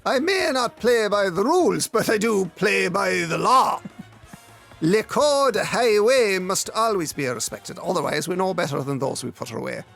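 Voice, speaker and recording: A man's voice: sits high, expressive, measured pace, gravelly, fairly bright tone, soft background music, exaggerated voice. A man acting a pedantic, self-satisfied, rule-abiding detective inspector in a comic audio drama, with a pompous manner. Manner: pompous, pedantic, drops in mangled French.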